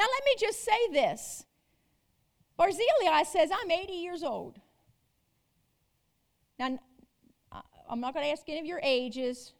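A woman's voice: high-pitched, gravelly. A woman preaching a sermon, emphatic and repetitive, asking the congregation rhetorical questions.

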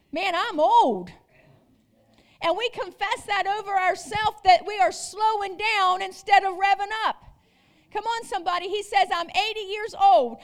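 A woman preaching a sermon, emphatic and repetitive, asking the congregation rhetorical questions. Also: very high voice.

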